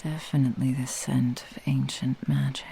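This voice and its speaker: Seductive, menacing fox-spirit witch, played by a woman, for whispered ASMR: dark, gravelly, husky, slow purring threat. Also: very deep voice, flat delivery, a little hiss or hum. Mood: intrigued, condescending.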